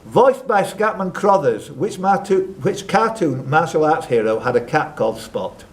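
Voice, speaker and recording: A man's voice: on the high side, sing-song, moderate pace. A man hosting a live quiz, reading out questions and tossing in chatty, off-the-cuff asides. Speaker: quizmaster. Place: live quiz event at a social club.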